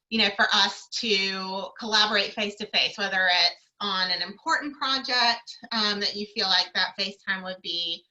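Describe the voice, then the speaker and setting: A woman's voice: mid-range voice, sharp tone, low-quality recording. A woman career coach moderating a video call panel, encouraging and full of fillers.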